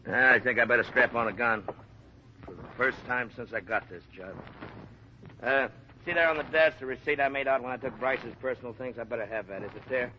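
An actor playing a blunt, plain-spoken small-town sheriff, stern and burdened, in a 1950s radio drama. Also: male voice, mid-range voice, fairly quick, natural voice. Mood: weary, regretful. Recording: muffled, hissy vintage radio recording.

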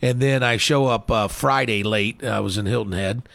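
A man's voice: fairly low pitch, normal speed, vocal fry, fairly bright tone, no room sound. A man co-hosting a sports show in casual, folksy conversation, often trailing off into asides.

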